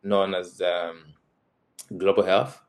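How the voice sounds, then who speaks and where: Very deep-voiced man from Zambia, hesitant. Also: little pitch movement, slow. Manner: hesitant, many fillers and restarts, soft laughs. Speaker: guest, college tutor. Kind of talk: relaxed interview answers.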